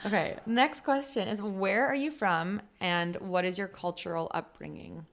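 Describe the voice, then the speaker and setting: A woman's voice: medium pitch, measured pace. A young woman hosting a podcast, chatting casually and filling her speech with "like". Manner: chatty, lots of "like" fillers. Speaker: podcast host. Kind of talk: casual interview chat.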